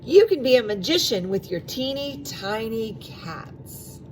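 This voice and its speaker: A woman with a slightly high voice reads a children's storybook at a slow, measured pace. She performs the narration expressively, drawing out sound words and speaking aside to the young listeners. There is faint background noise. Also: big pitch swings, rough recording. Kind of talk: expressive children's read-aloud.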